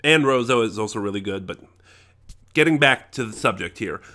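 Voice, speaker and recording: A man's voice: fairly low pitch, animated, brisk, sharp tone. An American man giving a casual, unscripted review in a conversational, rambling way, often correcting himself. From United States. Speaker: audio drama reviewer.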